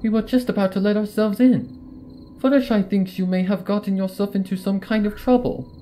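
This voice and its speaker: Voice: mid-range voice, steady tone, brisk, mellow, slight background noise, voice pushed up, lightly acted, low-quality recording. A reader voicing a refined, dramatic female cartoon unicorn pony, concerned and fussy, in a theatrical, doting manner.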